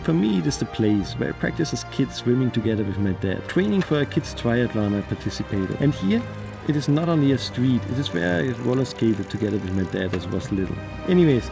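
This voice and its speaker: A man with a strong Austrian accent narrates a cycling travel vlog in a voice-over. His voice has a gravelly, fry-touched texture at a middle pitch. He tells it in an earnest, steady way while clear music plays underneath. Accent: Austrian German. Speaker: travel vlogger. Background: background music.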